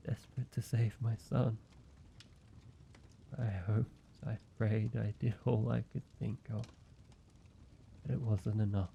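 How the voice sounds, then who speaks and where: A man voicing an ancient vampire in an intimate roleplay audio, speaking in a measured, halting, solemn way, like a weary father telling his past. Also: lowish voice, flat delivery, vocal fry, mellow, lo-fi sound, slight background noise. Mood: somber, regretful.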